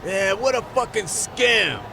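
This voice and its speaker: An actor playing a menacing young street punk sidekick in a game cutscene. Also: male voice, high-pitched, expressive, takes it slowly, creaky, full character voice. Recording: noisy urban street ambience.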